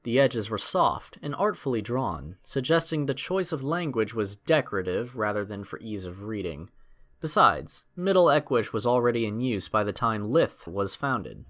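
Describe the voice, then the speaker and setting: Male narrator, dramatic scripted storytelling, animated intonation, mid-pitched. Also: normal speed.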